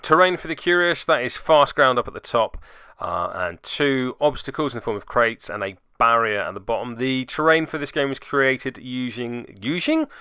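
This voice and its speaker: A man's voice: mid-range voice, lively intonation, normal speed, bright, forceful tone, clear, resonant tone, no room sound, clean studio sound. A man hosting a web series, giving jokey, self-deprecating commentary on tabletop games with theatrical turns of phrase. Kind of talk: tabletop game video commentary.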